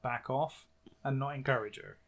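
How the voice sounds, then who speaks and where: Guy on a book podcast, medium pitch, casual chat, dry and sarcastic. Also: normal speed, creaky, a bit bright. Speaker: podcast co-host.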